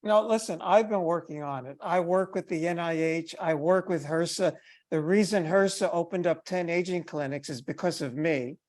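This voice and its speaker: A man's voice: fairly high pitch, normal speed, a little mellow. An older man speaking insistently as an advocate in an online meeting, heard through a headset mic. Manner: insistent, emphatic. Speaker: advocate for older people with HIV. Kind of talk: video call meeting discussion. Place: bright room indoors. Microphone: headphones on a video call.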